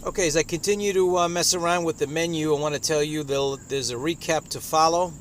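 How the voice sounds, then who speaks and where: A man giving a casual hands-on product review outdoors, speaking in a halting, hesitant way. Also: fairly high pitch, steady tone. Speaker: radio reviewer. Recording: outdoor noise, insects and traffic.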